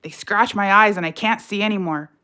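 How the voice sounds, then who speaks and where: A woman's voice: medium pitch, brisk, pushed and bright, clean tone, natural voice. An actress playing a bitter, sardonic widowed mother of three in a naturalistic audio play, blunt and colloquial in her talk. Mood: haunted, distressed. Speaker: voice actor in audio drama.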